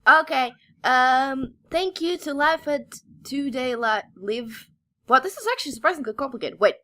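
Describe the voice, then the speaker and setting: A woman's voice: high-pitched, animated, normal speed, sharp tone. A woman co-hosting a podcast with casual, playful, teasing banter. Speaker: podcast co-host.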